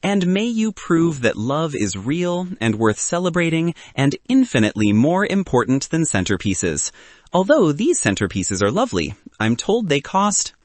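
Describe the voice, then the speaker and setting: Man voicing a wry, husky cynical best man. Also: a little high, very animated, moderate pace, no room sound, pitched above the performer's own voice. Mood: wry, warm. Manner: wry, argumentative, earnest. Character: cynical, awkwardly sincere young best man in love.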